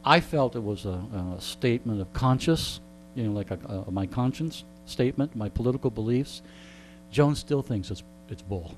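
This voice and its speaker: An older man with a medium-pitched voice spins anecdotes as an interviewee. He is animated and conversational, doubling back on phrases and punctuating points with "okay?". A clear layer of humming noise sits behind him. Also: moderate pace, low-quality recording. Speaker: radio veteran being interviewed. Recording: clear background noise with hum.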